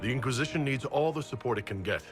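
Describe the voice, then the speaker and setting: Man acting a fast-talking, formal investigating agent. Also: mid-range voice, lively intonation, lightly acted. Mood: skeptical. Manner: formal, measured wording, businesslike. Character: brisk, probing male agent of a fantasy inquisition. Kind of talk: dramatic fantasy game dialogue. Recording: prominent background music under the voice.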